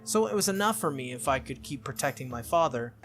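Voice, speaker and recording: A man reading a visual novel aloud as expressive narration for a game playthrough. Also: mid-range voice, fast talker, soft background music.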